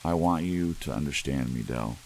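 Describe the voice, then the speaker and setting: A man voicing a quiet, tender young husband, slow and gentle, in a soft ASMR storybook reading. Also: a bass voice. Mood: tender, resigned. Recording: audible hiss under steady rain sounds. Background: soft rain.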